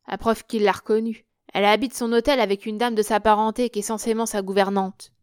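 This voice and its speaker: A woman reading aloud, voicing a coarse old drunken woman with rough, loud, working-class speech. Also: mid-range voice, fairly even intonation, brisk, no room sound, studio quality, lightly acted. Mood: pleading.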